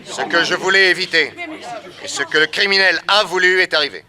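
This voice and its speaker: An actor playing a bold detective who addresses officials formally and with authority in a French radio drama. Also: male voice, on the high side, fairly quick, a crowd in the background, natural voice. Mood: commanding. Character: bold, commanding male detective.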